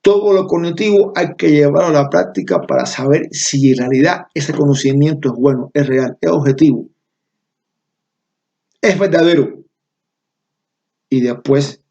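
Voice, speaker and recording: Man, Cuban Spanish accent, middle-aged, university lecturer, emphatic and repetitive, fairly high voice, muffled lo-fi sound. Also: a little mellow. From Cuba. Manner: emphatic, repeats key terms, checks in with students. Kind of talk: online class lecture.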